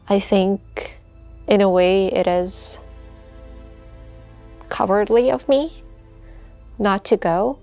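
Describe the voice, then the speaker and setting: A young woman from Russia in her twenties, answering interview questions in an earnest, hesitant way with many pauses and fillers, recorded outdoors on a campus. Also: mid-range voice, vocal fry, a little mellow. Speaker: master's student speaking about a protest.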